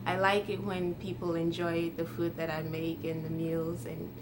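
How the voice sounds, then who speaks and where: A woman's voice: fairly low pitch, completely flat delivery, normal speed, background noise. Ghanaian-born young woman chatting to camera. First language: Twi. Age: 20s. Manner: friendly, chatty, light laughs. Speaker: YouTuber. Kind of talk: casual talk to camera.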